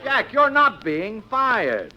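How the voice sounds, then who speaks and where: Muffled old radio recording, placating executive, high, sharp voice, comedy timing. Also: male voice, huge pitch swings, slow pace, a little hiss or hum, full character voice. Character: placid, businesslike male sponsor executive. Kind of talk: 1950s radio comedy acting.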